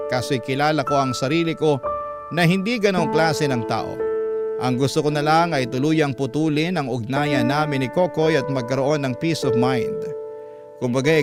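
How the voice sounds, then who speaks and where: Casting: Filipino male radio host for letter storytelling, slightly high voice, loud music underneath. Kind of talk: dramatic radio storytelling narration. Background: prominent background music.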